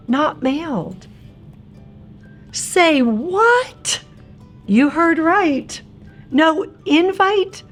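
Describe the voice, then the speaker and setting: Slow-paced woman reading a children's storybook. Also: a little high. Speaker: read-aloud narrator of a children's picture book. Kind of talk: expressive rhyming storybook narration for young children.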